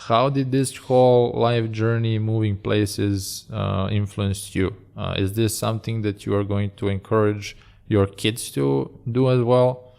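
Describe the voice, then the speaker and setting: Low, gravelly male interviewer with a friendly, ribbing way of asking. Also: steady tone, measured pace, few pauses, a little mellow. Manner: teasing, friendly questioning. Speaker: podcast host. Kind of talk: casual interview talk.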